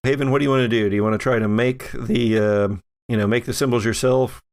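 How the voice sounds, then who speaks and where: A man's voice: mid-range voice, steady tone, fast talker, darkish tone. A man running a tabletop role-playing game as game master, improvising narration and putting questions to the players.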